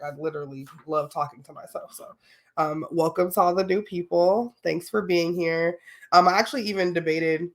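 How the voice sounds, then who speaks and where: A young adult woman hosting a solo podcast, talking casually and candidly with lots of fillers and slang, a little sniffly from a cold. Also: sits low. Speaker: podcast host.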